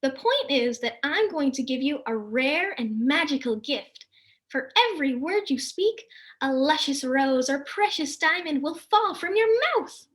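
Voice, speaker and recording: A woman voicing a playful female fairy disguised as an old woman, with drawn-out, sing-song, cheeky speech. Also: sits high, animated, fairly bright tone. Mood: bubbly.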